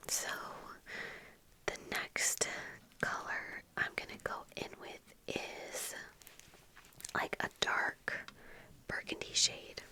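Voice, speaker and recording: A young woman speaks entirely in a breathy whisper, very close to the mic. Her delivery is slow and halting, like a casual chat while getting ready, and the whisper has a very bright, sharp edge. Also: low-quality recording. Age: young adult. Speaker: ASMR video creator. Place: small indoor room at home with bookshelves. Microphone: fluffy-covered microphone close in front, earphones on.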